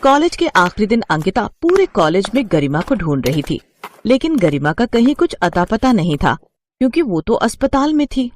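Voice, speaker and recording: A woman's voice: mid-range voice, sing-song, normal speed, faint music behind. A woman narrating a cartoon story.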